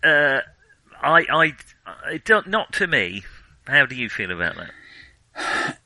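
A man's voice: medium pitch, sing-song, takes it slowly, sharp tone, dry recording, poor audio. A man co-hosting a casual film discussion, hesitant as he thinks his way aloud through his opinions. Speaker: film podcast co-host.

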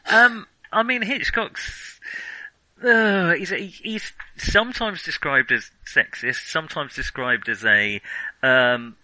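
A man's voice: a little high, slow pace, pushed and bright, no echo, rough recording. A man co-hosting a casual film discussion, talking in a hesitant, discursive way. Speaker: podcast co-host.

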